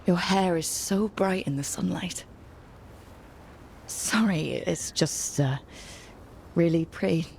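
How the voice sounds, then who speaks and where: A woman playing a calm, supportive and gently teasing hero in a fantasy video game, with natural delivery. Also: lowish voice, slow, vocal fry, pauses often, a little hiss or hum. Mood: fond. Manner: gentle, encouraging.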